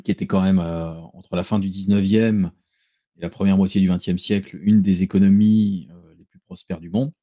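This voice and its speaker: A man speaking as a guest in a casual political discussion, measured and explanatory, with ironic asides. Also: deep voice, fairly even intonation, dark tone, muffled recording. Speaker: guest commentator.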